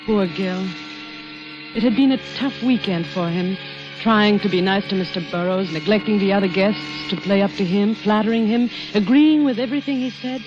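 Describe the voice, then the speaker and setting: An actress playing a worried, suspicious wife who runs a failing ranch, in 1940s radio drama style with narration and questioning dialogue. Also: female voice, medium pitch, normal speed, natural voice, rough recording. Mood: brooding. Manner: brooding, questioning. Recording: vintage radio broadcast with music.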